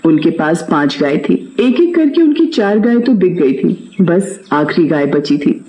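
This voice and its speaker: A man's voice: on the high side, big pitch swings, a little slow, dark tone, poor audio. A man reading a scripted children's moral story as its narrator.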